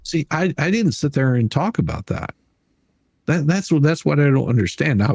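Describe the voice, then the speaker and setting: A man hosting a live stream, giving emphatic, argumentative commentary with a husky voice and reading passages from a document aloud. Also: mid-range voice, dark tone, dry recording.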